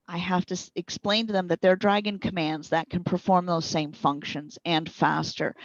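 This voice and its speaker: Low-voiced woman explaining, roomy sound. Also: vocal fry. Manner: conversational, instructive. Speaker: speech recognition trainer being interviewed. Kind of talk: explanatory interview talk. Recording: boxy roomy echo, slightly dull sound.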